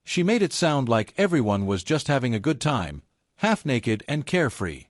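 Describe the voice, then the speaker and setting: A man's voice: medium pitch, very animated, normal speed, dry recording, studio quality. A man reading scripted celebrity gossip narration in a dramatic, insinuating storytelling manner.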